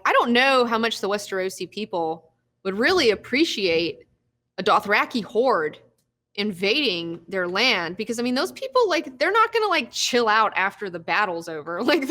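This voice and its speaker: A woman's voice: a little high, big pitch swings, moderate pace, bright, forceful tone. A young woman hosting a live recap show, chatting casually, laughing often and swearing freely.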